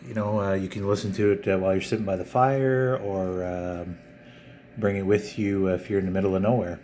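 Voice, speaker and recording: Male vlogger, lowish voice, off-the-cuff and halting product unboxing, some background noise. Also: steady tone, warm tone. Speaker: video channel host. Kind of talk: casual unboxing vlog.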